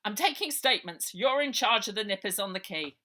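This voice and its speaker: A woman's voice: medium pitch, lively intonation, fairly quick, sharp tone, pitched above the performer's own voice. A woman voicing a pompous, officious fat old male police sergeant in a storybook. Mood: exasperated. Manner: officious, bossy.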